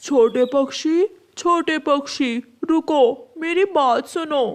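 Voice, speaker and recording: A woman voicing an injured talking tree in a cartoon, making slow, earnest appeals. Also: high-pitched. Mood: pleading. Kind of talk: cartoon voice acting.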